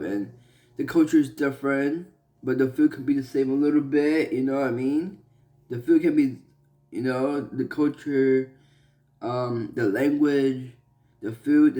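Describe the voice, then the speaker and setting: Slow, halting young man from Malaysia. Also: medium pitch, warm tone. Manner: earnest, halting, many fillers and restarts. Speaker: vlogger. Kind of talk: personal vlog talk.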